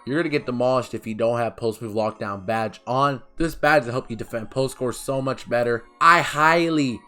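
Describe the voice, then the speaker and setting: A man giving a casual, eager game walkthrough with a hoarse, recovering voice, often dropping in "man" and his personal picks. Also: medium pitch, fairly bright tone. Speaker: gaming YouTuber.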